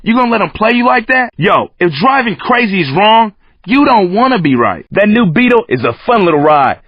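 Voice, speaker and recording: A man's voice: high-pitched, very animated, brisk, no room sound. A man voicing a cocky, hip, streetwise American racing coach in a video game, slangy and brash. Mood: upbeat hype. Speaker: race announcer in a driving game. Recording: muffled lo-fi game audio.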